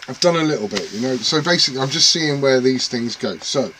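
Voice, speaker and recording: A man's voice: mid-range voice, expressive, brisk, bright, forceful tone, a little hiss or hum, rough recording. A man rambling casually and self-deprecatingly to camera about his radio gear at home. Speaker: CB radio hobbyist vlogger.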